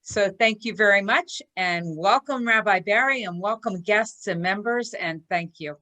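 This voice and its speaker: A woman hosting a study session, giving welcoming announcements in a polite, measured way. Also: medium pitch, bright, forceful tone. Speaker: host introducing a study session. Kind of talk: welcoming announcements to an online group.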